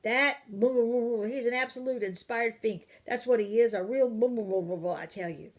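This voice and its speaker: A woman's voice: a little high, moderate pace, pitched above the performer's own voice, lightly acted. A woman voicing an angry, blustering father in a storybook reading, sputtering with indignation. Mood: furious.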